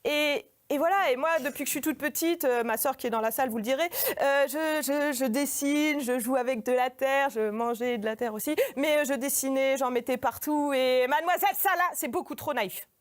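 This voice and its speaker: A woman with a fairly high voice delivers a humorous performance lecture about herself. She is informal and self-deprecating, with a hesitant, searching way of talking to her audience. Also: normal speed, few pauses. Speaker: artist giving a performance lecture. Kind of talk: humorous autobiographical stage monologue. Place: in front of a live audience.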